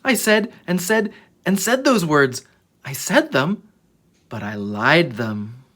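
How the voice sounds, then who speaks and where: A children's story reader with a husky, slightly rough voice. The delivery is dramatic and highly animated, swinging up and down in pitch as a storybook is read aloud. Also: slow.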